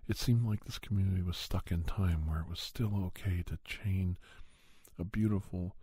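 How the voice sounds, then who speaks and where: A man playing a paranormal investigator who recounts his cases in soft, breathy, halting first-person ASMR narration. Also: sits low, fairly even intonation, no echo. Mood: calm, hushed, matter-of-fact. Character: male paranormal investigator doctor recounting monster cases, unhurried. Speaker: storyteller.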